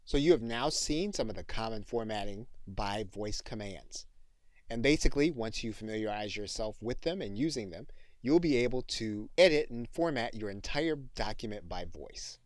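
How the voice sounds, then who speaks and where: A man giving instructional software tutorial narration, speaking deliberately as a training instructor. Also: fairly low pitch, animated, no room sound. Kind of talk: instructional screencast tutorial with dictated commands.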